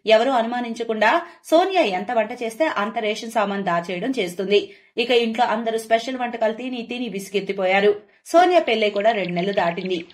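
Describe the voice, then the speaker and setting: A woman storyteller reading a scripted story as narration. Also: a little high.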